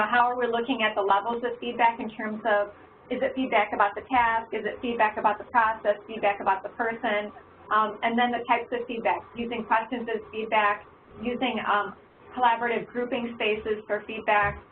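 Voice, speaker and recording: A woman's voice: a little high, level tone, moderate pace. A woman facilitating an online workshop in a conversational way, with many fillers. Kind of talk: online professional development session. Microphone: video call. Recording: tinny, phone-like call audio with faint noise.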